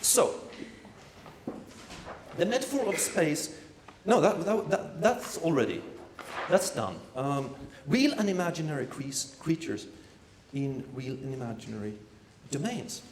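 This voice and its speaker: Man, performance lecturer, lively pitch, rambling, playful asides, roomy echo, faint background hum. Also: unhurried, pauses often. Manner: rambling, many fillers and repeated words. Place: lecture room at an art academy. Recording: echoey room.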